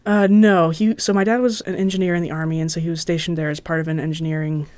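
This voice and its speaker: A woman's voice: low voice, level tone, fast talker, a little mellow, no echo. An American woman guest telling stories of her childhood, chatty and self-deprecating, laughing often. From United States. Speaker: podcast guest. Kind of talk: casual storytelling interview.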